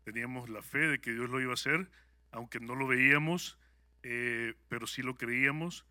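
A man with a Salvadoran Spanish accent speaks to a congregation as a guest speaker. His voice is very bright and sharp, and it sounds close and dry. He talks in an upbeat, conversational way and often pauses on hesitant fillers. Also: medium pitch, no echo. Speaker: guest speaker at a church service. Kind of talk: personal testimony to a congregation.

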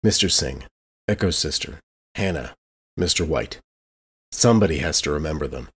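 A man's voice: very deep voice, animated, normal speed, breathy edge, heavily acted. A man playing a brooding, suspicious recluse, narrating his private thoughts as a first-person noir monologue in clipped sentences. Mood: brooding, uneasy.

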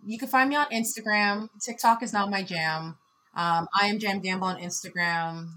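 A woman in her thirties speaking as a podcast guest in casual conversation, expressive and shifting between soft reflection and loud laughing energy. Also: medium pitch, moderate pace, a little hiss or hum. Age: 30s.

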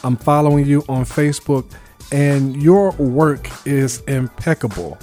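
A man's voice: mid-range voice, unhurried, warm tone, soft background music. A man from Brooklyn with an American accent, hosting a conversational interview in an encouraging, complimentary way. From Brooklyn, New York. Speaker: show host interviewing a guest.

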